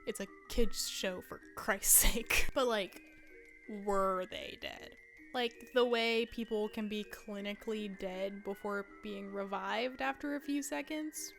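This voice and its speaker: A woman's voice: medium pitch, takes it slowly, gravelly, fairly bright tone, faint music behind. A woman in her twenties narrating a video essay in a casual, conversational way with wry asides.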